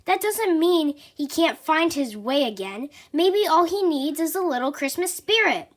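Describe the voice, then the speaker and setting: A woman voicing a kindly old man in a storybook, who explains things gently and reassuringly. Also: sits high, animated, unhurried.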